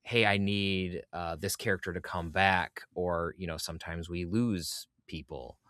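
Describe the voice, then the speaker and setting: A man hosting a casual interview, feeling his way through questions in a halting, rambling style. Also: deep voice, steady tone, a bit bright, smooth, no room sound, clean studio sound. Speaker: podcast host, interviewer. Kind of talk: casual chat.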